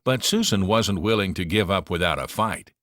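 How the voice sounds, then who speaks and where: A man narrating a children's storybook in an expressive, dramatic storytelling manner. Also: deep voice, normal speed, no room sound, clean studio sound.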